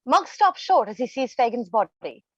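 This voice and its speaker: A woman's voice: a little high, sing-song, normal speed, slightly rough, no room sound. A woman reading stage directions as scripted narration, on a slightly dull microphone.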